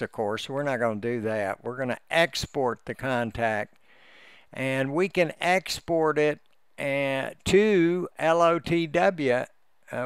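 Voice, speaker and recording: Slow, gravelly man explaining. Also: mid-range voice, no room sound, studio quality. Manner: halting, explanatory, step by step. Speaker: amateur radio hobbyist giving a tutorial. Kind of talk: unscripted screen-share walkthrough. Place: indoors, close dry voice-over for a screen recording.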